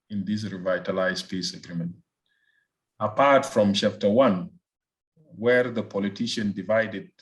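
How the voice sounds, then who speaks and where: South Sudanese man giving measured political analysis. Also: on the low side, rough recording. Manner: hesitant, deliberate phrasing with repeated words. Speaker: research fellow, interview guest.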